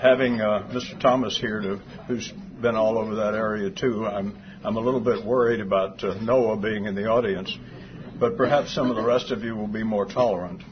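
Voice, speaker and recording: An older man from Texas giving an after-lunch talk, soft-voiced, with dry humor and many pauses. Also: on the low side, steady tone, crowd noise. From Fort Worth, Texas. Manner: dry humor, hesitant with fillers. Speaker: guest speaker. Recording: dull lo-fi sound with clear background noise.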